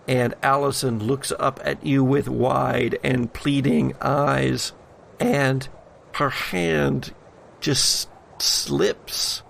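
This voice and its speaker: A man working as a game master, narrating tabletop horror in a deliberate, suspenseful way with drawn-out pauses. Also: medium pitch, gravelly, a little hiss or hum.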